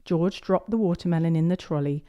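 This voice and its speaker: A woman reading a picture book aloud to young children in an expressive storytelling manner. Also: on the low side, a little fast, muffled recording. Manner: expressive, clearly paced delivery of picture-book narration.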